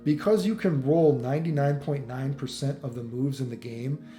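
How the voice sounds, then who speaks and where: Male gaming commentator, mellow tone, opinionated and rambling with swearing, unscripted, music playing behind him in a roomy space. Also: medium pitch, normal speed. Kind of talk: casual off-the-cuff ranting game commentary.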